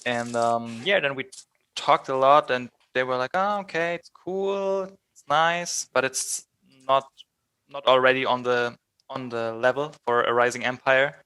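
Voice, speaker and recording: Bright, clear German-accented man. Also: medium pitch, a little slow, dry recording. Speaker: band member being interviewed. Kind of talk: casual interview chat.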